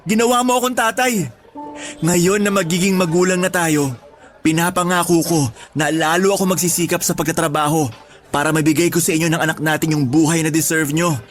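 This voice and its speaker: Actor as high-voiced, devoted boyfriend. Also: male voice, steady tone, a little fast, natural voice. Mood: overjoyed. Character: devoted, playful boyfriend, eager father-to-be. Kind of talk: Tagalog radio drama acting. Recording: music bed under dialogue.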